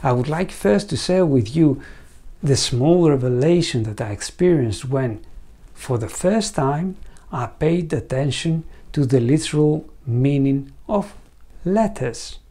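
A man's voice: fairly high pitch, animated, unhurried, mellow. A man in his forties with a Greek accent, a veterinarian presenting a scripted lecture in an earnest storytelling manner and putting questions to the viewer, on a lapel mic in a studio. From Greece. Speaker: veterinarian and site founder. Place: studio set against a green screen.

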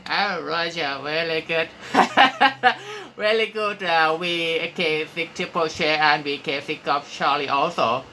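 A man with a Thai accent speaking halting, courteous English as an amateur radio operator, giving call signs and sign-offs. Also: a little high, pushed and bright, slight background noise. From Thailand. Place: small room at a radio desk, indoors.

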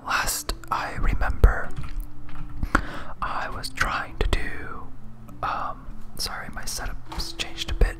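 Someone whispering softly and breathily in hesitant, rambling, self-deprecating ASMR commentary while playing a game. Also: slow. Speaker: host, game streamer.

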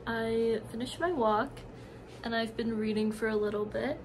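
A woman's voice: on the high side, fairly even intonation, moderate pace, poor audio. A young woman, a college student, chatting casually to her camera in an informal vlog style, sprinkling in "like" as she goes. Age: young adult. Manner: informal, giggly, frequent filler "like". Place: dorm room and outdoor paths. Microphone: phone or camera at arm's length.

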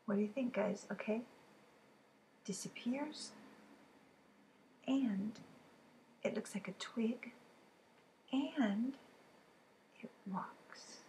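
A woman in her fifties reading riddle poems aloud for a children's storytime, expressive and deliberate, with chatty asides to the listeners. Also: fairly high pitch. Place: living room at home, indoors. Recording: clearly noisy room recording.

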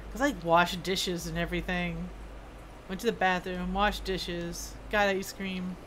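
A woman's voice: on the low side, flat delivery, unhurried, a bit bright, slight background noise, poor audio. A woman streaming a game, thinking aloud in a casual, rambling, self-deprecating way. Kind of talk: casual live gameplay commentary, thinking aloud.

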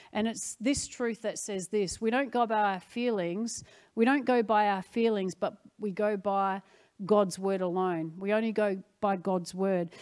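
A woman's voice: mid-range voice, a little mellow. A young adult woman, a pastor teaching a congregation in a conversational, earnest way, repeating points for emphasis into a handheld mic. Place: indoor church stage. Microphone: handheld microphone.

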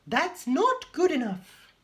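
A man reading aloud and voicing a magical, bossy godmother spider in a high, grand voice. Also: huge pitch swings, slow pace, sharp tone, roomy sound, exaggerated voice.

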